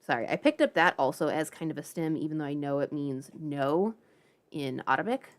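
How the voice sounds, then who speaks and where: Female streamer, slightly low voice, informal and playful, talking off the cuff to viewers. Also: animated, fairly quick. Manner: playful, informal, chatty asides. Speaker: livestream host. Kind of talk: casual livestream chat.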